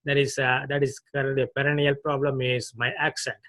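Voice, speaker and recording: A man from India with an Indian accent, a club guest giving a short unprepared speech on a video call, halting and restarting his phrases. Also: mid-range voice, level tone, fairly bright tone.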